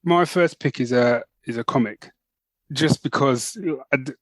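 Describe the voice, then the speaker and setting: A man's voice: mid-range voice, a little slow, a bit bright, soft background music. A man co-hosting a podcast, chatting casually and rambling with restarts. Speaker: podcast co-host. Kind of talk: casual chat.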